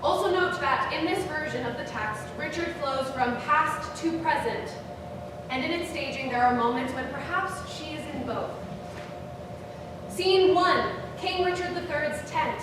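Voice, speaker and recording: A high-pitched woman delivers scripted narration for a staged play reading. The sound is distant and very echoey, with noticeable noise behind it. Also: a little slow. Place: live staged reading venue. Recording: very echoey hall, distant, noisy.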